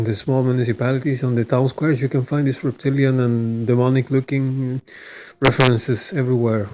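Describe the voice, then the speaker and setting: A man from Sweden speaks in Swedish-accented English as he hosts his own video channel. His talk is loose and unscripted, full of pauses and "uh"s, and he restarts sentences as he goes. His voice sits at an ordinary middle pitch for a man. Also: a little mellow, slight background noise, poor audio. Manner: halting, many filler sounds and restarts. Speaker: channel host. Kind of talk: casual monologue to camera.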